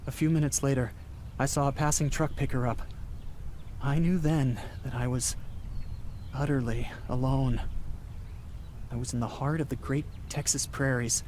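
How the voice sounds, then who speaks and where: An actor playing a haunted, desperate young driver in a radio drama, earnest and halting, stammering when agitated. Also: male voice, mid-range voice, fairly even intonation, a little mellow, a little hiss or hum, close to an everyday voice. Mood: haunted, grim. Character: haunted, desperate young male cross-country driver, unravelling. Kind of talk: radio drama acting with first-person narration. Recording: music behind the voice. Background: dramatic music and car sounds.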